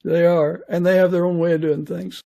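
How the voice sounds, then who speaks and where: American guy host, kinda high but soft dark tone, casual podcast chat. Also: flat delivery, moderate pace, vocal fry.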